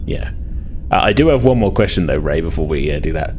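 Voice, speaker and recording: A man improvising casually as a tabletop roleplay player, halting and informal, often repeating his words. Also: rumbling low, expressive, a little mellow, slight background noise.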